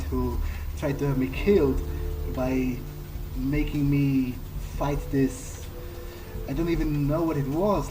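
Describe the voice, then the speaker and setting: A man role-playing his character in a video game playthrough, thinking aloud in a hesitant, halting, musing way. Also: on the high side, low-quality recording. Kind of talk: improvised in-character monologue over a video game.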